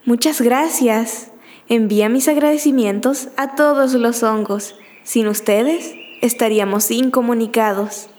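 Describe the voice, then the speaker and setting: Woman as a slow, gentle ancient mother tree. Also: fairly high pitch, steady tone, lightly acted. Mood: gracious, warm. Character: gentle, grateful thousand-year-old mother tree. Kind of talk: school radio play acting.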